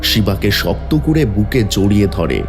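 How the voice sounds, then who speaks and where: A man's voice: on the low side, very animated, normal speed, a little mellow. A man narrating a scripted, dramatic horror and devotional story over music.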